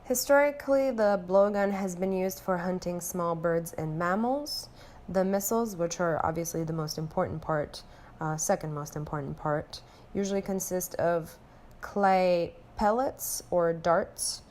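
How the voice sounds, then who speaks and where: A young woman from Romania presents a class report in a somewhat low voice. She reads in an earnest, measured way and stops often on small filler sounds. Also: a little mellow, a little hiss or hum. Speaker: anthropology student presenting.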